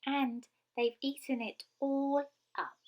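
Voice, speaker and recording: A woman voicing a squeaky little boy bear in a children's storybook, plaintive and wailing with grievance. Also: steady tone, slow. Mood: upset, whining. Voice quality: squeaky, high. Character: squeaky little male baby bear, tearful and aggrieved.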